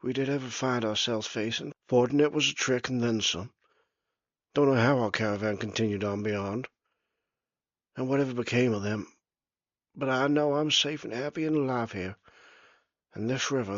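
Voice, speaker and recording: A man's voice: medium pitch, moderate pace, pauses often, a bit bright, no room sound, highs cut off, voice pushed up, lightly acted. A man voicing an older, plainspoken male ferryman who is wary, with a folksy rural drawl and loose grammar. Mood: wistful, guarded.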